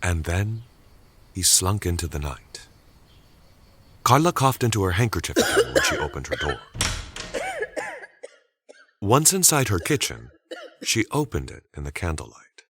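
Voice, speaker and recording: A man's voice: deep voice, wildly swooping pitch, a little slow, pauses often, fairly bright tone, breathy edge. A man reading scripted, dramatic story narration as the narrator of an audio tale.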